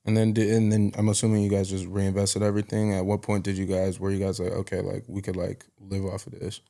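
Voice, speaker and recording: Chill host dude, kinda low gravelly voice, rambly questions. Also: male voice, fast talker. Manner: relaxed, rambling questions with fillers. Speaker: podcast host. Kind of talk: casual interview questions.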